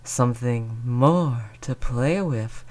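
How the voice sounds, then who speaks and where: Someone playing a slow, silky, sadistic vampire. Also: medium pitch, a little mellow, natural voice. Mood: coolly persuasive. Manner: taunting, theatrical, drawn-out purring menace. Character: sadistic aristocratic vampire, silky predatory seducer. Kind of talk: scripted roleplay audio monologue.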